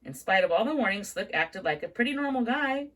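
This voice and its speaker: A woman reading a book aloud in an expressive storytelling manner. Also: medium pitch, fairly quick, rough recording. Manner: expressive read-aloud, carries on through interruptions. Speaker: book reader.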